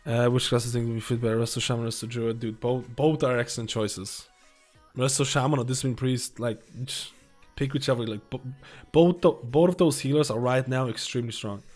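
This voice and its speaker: A man live-streaming, reading a guide and viewer questions aloud in a halting, casual way and breaking into asides while losing his voice. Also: medium pitch, fairly even intonation, a little mellow, soft background music.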